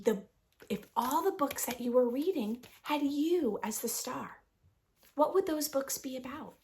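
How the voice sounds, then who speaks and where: A woman reading a picture book aloud for young children in an expressive, sing-song storytelling manner, adding her own asides. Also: a little high. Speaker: children's read-aloud host.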